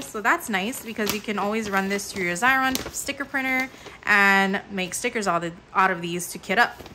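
Chatty, bright-voiced woman crafting host. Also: fairly high pitch, a little hiss or hum. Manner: chatty, upbeat, many fillers. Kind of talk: casual unboxing commentary. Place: indoors at a table. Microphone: overhead camera mic, hands-only framing.

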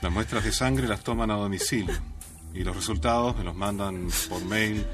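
A man's voice: on the low side, normal speed, music in the background, close to an everyday voice. A man playing a cautious, formal family doctor in a radio drama. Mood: grave, cautious. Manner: formal, careful.

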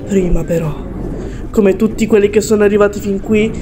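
A woman's voice: mid-range voice, fairly even intonation, brisk, background noise, close to an everyday voice. A woman voicing a fierce, mocking fish-woman warrior in a cartoon musical, theatrical and grandiose as she speaks and sings. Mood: solemn, menacing. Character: fierce, gloating fish-woman warrior in armor, self-styled hero. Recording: music behind the voice.

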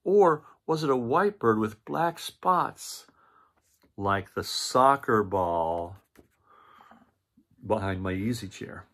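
A good-natured father bear from a children's storybook, bemused and questioning, a touch louder and higher than the storyteller's own voice, as a man reading aloud puts him on. The tone stays husky underneath. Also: expressive, slow pace, pauses often, heavily acted. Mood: puzzled.

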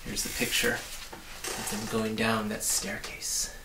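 A man's voice: fairly low pitch, monotone, unhurried, noisy background. A man in his forties narrating a storybook aloud, recorded in a small room. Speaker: storybook reader. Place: small book-lined room indoors. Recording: roomy, distant microphone.

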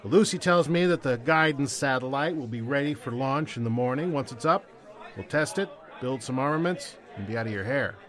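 An actor playing a sardonic, hard-headed rebel war leader in an audio drama. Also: male voice, mid-range voice, lively intonation, brisk, fairly bright tone, some chatter behind, natural voice. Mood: cool, regretful. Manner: sardonic, confrontational.

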